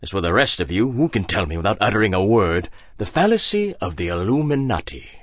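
A man plays a sect leader in a dramatized audiobook. His voice sits very low and close, with a dry sound. He intones with solemn, sardonic authority. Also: big pitch swings, fairly bright tone, no room sound. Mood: wry, provoking. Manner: slow, solemn intoned chanting. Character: male sect leader and sermonizer, solemn, sardonic, crude-mouthed.

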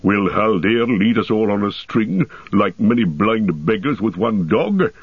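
A man voicing a proud, obstinate dwarf warrior in a storybook reading. The voice is low and gruff, set apart from the narration, with deliberate, defiant phrasing. The sound is dry and slightly dull. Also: expressive, no room sound, full character voice. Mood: mocking.

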